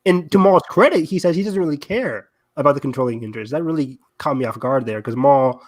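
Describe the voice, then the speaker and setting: A man's voice: a little high, fast. Enthusiastic man chatting on a fan podcast. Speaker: podcast host. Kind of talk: casual fan discussion.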